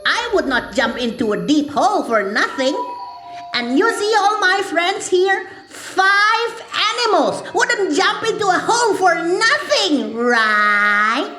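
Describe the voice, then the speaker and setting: A tiny trickster mouse deer, as a woman acts it out, talks slowly in a high, lilting voice that swoops up and down. The voice is coaxing and crafty, set in a roomy echo with music underneath. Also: sing-song, full character voice. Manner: coaxing and crafty, bouncy lilt.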